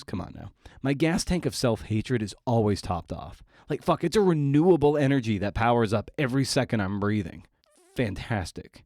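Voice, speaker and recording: A low-voiced man talks casually by himself as a podcast host. His manner is wry, rambling and self-deprecating. The recording is dry and close, with very little room sound. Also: a little mellow, no echo. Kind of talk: casual solo podcast monologue.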